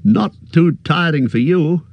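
A man's voice: fairly high pitch, unhurried, gravelly, dry recording, dull audio, pitched above the performer's own voice. A man voicing a lofty, amused male elf who teases in a light, lilting tone. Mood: teasing.

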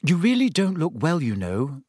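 An anxious teenage schoolgirl, played by a man reading an audiobook, pitched high. The character is earnest and pleading, a storybook friend who worries out loud. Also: wildly swooping pitch, normal speed, slightly rough, dry recording, studio quality, exaggerated voice.

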